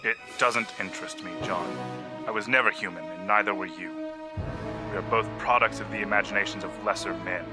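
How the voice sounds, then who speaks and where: A man's voice: lowish voice, steady tone, brisk, over music, natural voice. A man playing a scornful, immortal vampire villain in an audio drama, menacing, condescending and theatrical.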